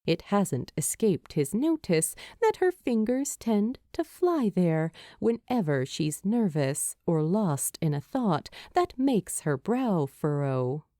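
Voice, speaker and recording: Slow, mellow woman narrating a story. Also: fairly low pitch, very animated, no echo, clean studio sound. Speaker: fan fiction narrator.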